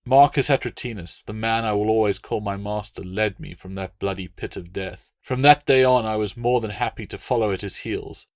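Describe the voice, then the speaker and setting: Low, very dry male audiobook narrator. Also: normal speed, hardly any pauses, dry recording. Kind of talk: scripted first-person novel narration.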